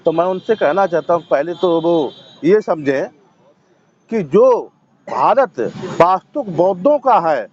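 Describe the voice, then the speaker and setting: Guy, high voice, protester answering questions forcefully, repeats himself for emphasis, muffled field recording. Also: animated, measured pace, a little hiss or hum. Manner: assertive, emphatic, repeating phrases. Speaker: protester interviewed at a sit-in. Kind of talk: impassioned political and religious interview answers.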